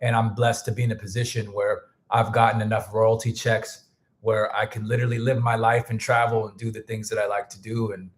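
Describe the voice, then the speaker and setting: A man hosting a casual music livestream, rambling loosely with many restarts and thanking viewers, a little hoarse as he starts losing his voice. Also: fairly low pitch, level tone, brisk. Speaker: music producer and livestream host.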